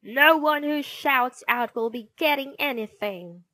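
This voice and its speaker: A woman acts out a fierce storybook schoolmistress, snappish and commanding. The voice is raised and high, with sweeping pitch, and each order is delivered at a measured pace. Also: expressive, fairly bright tone, no echo. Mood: commanding, irritated. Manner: scolding, commanding. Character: stern, snappish, glaring female schoolteacher.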